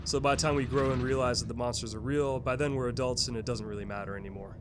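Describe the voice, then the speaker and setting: An actor playing a folksy, earnest retired uncle in an audio sketch comedy. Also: male voice, mid-range voice, fairly even intonation, fast, noisy background, close to an everyday voice. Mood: earnest explaining. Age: older. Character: retired fatherly uncle, earnest and matter-of-fact about monsters.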